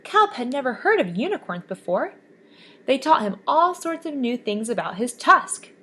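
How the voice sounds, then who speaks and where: A woman reading a children's picture book aloud with expressive, animated storytelling. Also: high-pitched, moderate pace. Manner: expressive, lively storybook voices.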